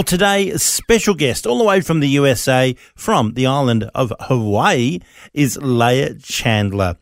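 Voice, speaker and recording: A man hosts a radio interview, asking friendly, steady questions. His voice sits at an ordinary pitch and sounds close and dry, with no room echo. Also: gravelly. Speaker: radio host and producer.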